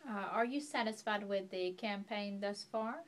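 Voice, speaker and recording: A woman interviewer asks short, plain questions in a voice of middle pitch. She keeps each question brief. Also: steady tone, normal speed.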